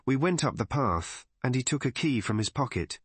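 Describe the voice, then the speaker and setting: A man narrates a story in scripted audiobook fashion. His voice sits at a medium pitch, and the recording is dry with no room around it. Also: animated, normal speed, breathy edge, no echo.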